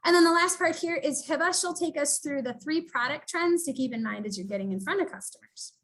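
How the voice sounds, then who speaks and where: A woman's voice: high-pitched, fairly quick, a bit bright. A woman hosting an online business webinar, upbeat and chatty with fillers, heard over a video call. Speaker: webinar host.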